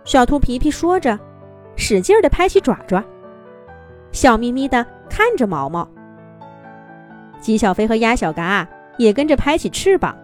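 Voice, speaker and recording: A woman narrating a children's story in a gentle, expressive, singsong way. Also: a little high, moderate pace, pauses often. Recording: soft background music.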